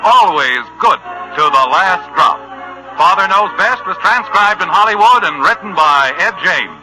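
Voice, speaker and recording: High, animated American radio announcer man. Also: a little slow, faint music behind, poor audio. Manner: polished, emphatic, punchy phrasing. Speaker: show announcer. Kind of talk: 1950s radio announcing and ad read. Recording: old radio recording.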